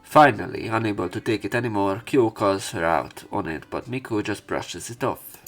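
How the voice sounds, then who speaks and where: A man reading visual novel narration aloud as a let's play commentator, somewhat halting and sick with a cough. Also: on the low side, animated, fairly bright tone, faint music behind.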